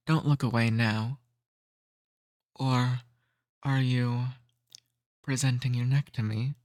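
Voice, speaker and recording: Guy doing a flirty ancient vampire for asmr, real slow and teasing, kinda bossy but courtly, super dry close sound. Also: mid-range voice, a little mellow, dry recording. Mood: teasing, eager. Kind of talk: intimate ASMR roleplay.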